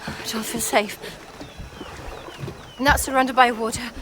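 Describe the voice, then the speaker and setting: A woman's voice: fairly high pitch, fairly even intonation, unhurried, over music, lightly acted. A woman playing a curious, outspoken young female companion in a game cutscene. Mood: uneasy. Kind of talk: cinematic game cutscene acting.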